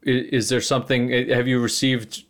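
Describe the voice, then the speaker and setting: A man's voice: mid-range voice, flat delivery, normal speed. A man interviewing a musician, asking questions with some hesitation and restarts.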